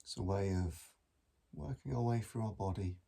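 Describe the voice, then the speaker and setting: Low, husky male meditation guide. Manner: gentle, measured, with long pauses. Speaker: mindfulness meditation teacher. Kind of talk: guided body scan meditation.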